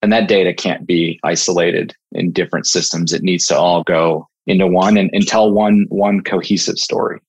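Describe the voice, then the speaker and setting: A man's voice: sits low, fairly even intonation, normal speed, few pauses, husky. A man speaking as an interview guest, explaining things in an informal, conversational way. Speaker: podcast guest, product evangelist in staffing software.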